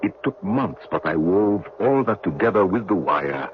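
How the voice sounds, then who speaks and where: A man's voice: low-pitched, big pitch swings, normal speed. An actor playing a tall, wry, resolute French general in his sixties, planning an escape in an old-time radio drama. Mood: confiding, playful. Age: 60s. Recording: dull, noisy old radio recording.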